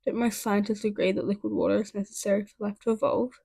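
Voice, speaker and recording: A woman voicing an authoritative, brisk businesswoman in her forties in a storybook reading, matter-of-fact in manner. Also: mid-range voice, little pitch movement, gravelly, mellow, slightly rough, no room sound. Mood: probing. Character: authoritative mid-forties European businesswoman, brisk task force official.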